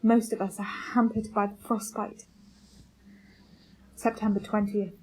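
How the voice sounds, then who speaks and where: Woman playing a slow, solemn starving polar captain. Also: mid-range voice, level tone, breathy edge, rough recording. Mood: grim, weary. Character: starving, resolute male polar expedition captain keeping a journal. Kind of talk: dramatic radio play journal narration.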